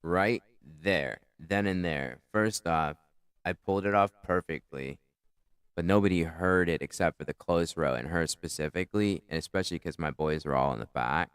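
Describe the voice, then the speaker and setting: Man, very low voice, smooth and resonant, dry close sound, rambling profane commentary. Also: normal speed, dry recording. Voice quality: powerful, carrying voice. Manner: rambling, profane, self-critical. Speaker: comedian reviewing his own set. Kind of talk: casual commentary.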